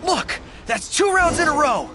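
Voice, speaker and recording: Impassioned, high-pitched male resistance general. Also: sing-song, moderate pace, shouting. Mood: fiery, rallying. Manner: formal, declamatory, earnest. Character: proud, fiercely loyal resistance army general, grown man. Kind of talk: video game voice acting.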